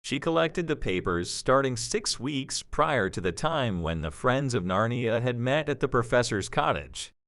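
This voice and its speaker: A man's voice: mid-range voice, very animated, a little slow, few pauses, a bit bright, dry recording. A man reading a scripted story as the narrator of an audio drama.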